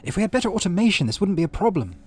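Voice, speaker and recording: A man's voice: high-pitched, sing-song, rapid-fire, slightly rough, voice pushed up, exaggerated voice. A man voicing an earnest, stoic teenage girl scientist in a visual novel, with precise, formal speech. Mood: tense, resigned. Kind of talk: reading visual novel dialogue aloud.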